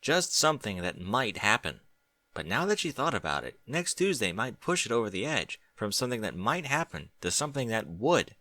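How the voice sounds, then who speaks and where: Animated, bright-voiced male story reader. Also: mid-range voice, normal speed, dry recording. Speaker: fan fiction reader. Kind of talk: reading a story aloud.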